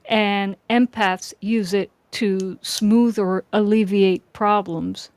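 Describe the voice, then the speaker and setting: A woman talking reflectively in a casual discussion, measured and deliberate, pausing between phrases. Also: mid-range voice, fairly even intonation, darkish tone, no room sound.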